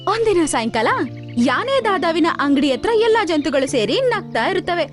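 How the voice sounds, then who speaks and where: A woman's voice: very high-pitched, big pitch swings, moderate pace. A woman narrating a scripted animal cartoon story as its storyteller. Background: light background music.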